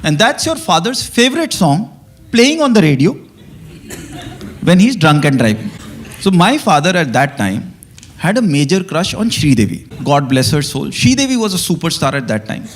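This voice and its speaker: Animated high-pitched Indian male stand-up comic. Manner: conversational storytelling with pauses before punchlines. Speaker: stand-up comedian. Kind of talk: stand-up comedy.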